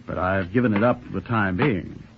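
A man's voice: lowish voice, fairly quick, noisy background, lightly acted. An actor playing a friendly, easygoing young man in 1940s radio style. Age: young adult. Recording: muffled vintage 1940s radio broadcast.

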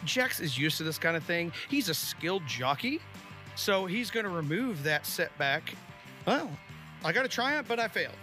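A man's voice: sits high, very animated, normal speed, bright, forceful tone, faint music behind. A man chatting casually and animatedly as a player in a tabletop roleplaying game.

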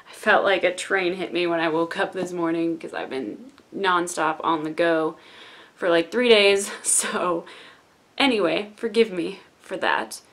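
A young woman hosting a trailer reaction in a room lined with bookshelves, hoarse from losing her voice and chatting in a gushing, excited way into a desk webcam. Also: on the low side, unhurried. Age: young adult. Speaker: YouTube reaction host.

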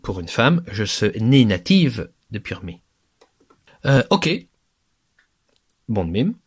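A man's voice: on the low side, very animated, takes it slowly, breathy edge. A man teaching a language lesson over slides, explaining step by step with fillers and restarts.

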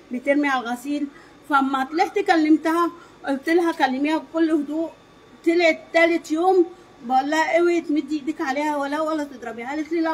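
A woman's voice: high-pitched, rough recording. A middle-aged woman with an Egyptian accent gives an emphatic eyewitness account in a street interview, acting out quoted dialogue as she goes. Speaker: neighbour giving eyewitness account. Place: outdoors against a brick wall in a street. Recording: faint outdoor background noise.

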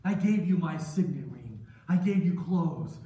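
A man's voice: on the high side, very animated, measured pace, warm tone, dull audio. A man acting out a betrayed, wounded master of the house in live storytelling, speaking with reproach and stern authority. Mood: hurt, reproachful. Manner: indignant, emphatic, reproachful. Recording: very echoey room, distant mic, faint noise.

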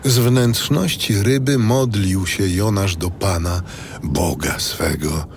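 A man narrating scripture in a solemn, deliberate storytelling style for a dramatic audio production. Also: low voice, a little hiss or hum. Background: loud cinematic music and effects.

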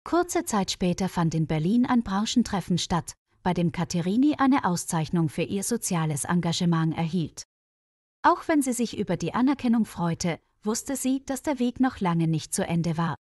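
A woman's voice: lowish voice, expressive, moderate pace, darkish tone, dry recording, clean studio sound. A woman reading a scripted story for language learners, enunciating carefully. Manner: carefully enunciated, read-aloud delivery. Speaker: narrator.

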